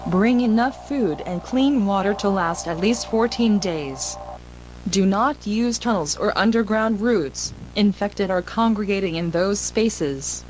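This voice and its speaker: A woman performs an emergency broadcast announcer for analog horror, grave and impersonal. Her mid-pitched voice delivers formal, measured warnings as scripted alerts. A faint humming noise sits under the recording. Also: lively intonation, a little mellow, close to an everyday voice, poor audio. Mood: instructive.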